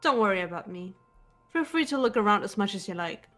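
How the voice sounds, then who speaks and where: A woman's voice: mid-range voice, expressive, fairly bright tone, soft background music, natural voice. A woman voicing a composed, guarded, analytical teenage girl detective in a fan-dubbed game. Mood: cool, analytical. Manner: measured, reserved, matter-of-fact delivery. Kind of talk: fan voice acting of a visual novel game.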